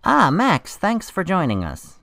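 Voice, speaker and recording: A man's voice: sits high, huge pitch swings, unhurried, vocal fry, bright, forceful tone, no room sound, full character voice. An actor playing an earnest, anxious male medical examiner in a radio drama, explaining things expressively. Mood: welcoming.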